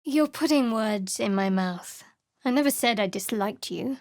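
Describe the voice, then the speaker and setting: A woman voicing a guarded young female cleric of a dark goddess in a video game, cool, reserved and wry, whispering her prayers close to the mic. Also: fairly high pitch, animated, unhurried, dry recording. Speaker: game companion character. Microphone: close studio mic.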